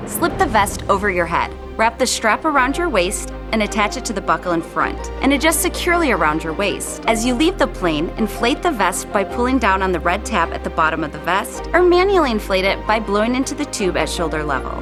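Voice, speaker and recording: A woman's voice: medium pitch, big pitch swings, a little fast, few pauses. A woman narrating scripted airline safety instructions. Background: music.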